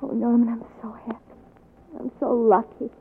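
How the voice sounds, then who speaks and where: An actress playing a devoted, adoring young bride with a gently firm streak in a 1940s radio drama. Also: female voice, on the high side, flat delivery, moderate pace, husky. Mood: rapturous, tender. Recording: noisy, narrow 1940s radio broadcast recording.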